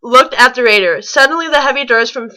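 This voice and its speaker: A woman's voice: fairly high pitch, brisk, sharp tone, rough recording. A woman reading a story aloud, stumbling and rereading lines as she goes and dropping in mocking asides. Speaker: reader on a fan fiction reaction show.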